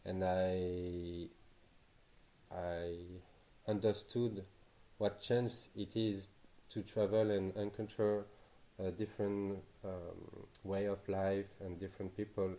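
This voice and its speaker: Slow, halting man from Tahiti. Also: sits low, little pitch movement, mellow, poor audio. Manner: halting, searching for words. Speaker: guest. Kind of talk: casual interview.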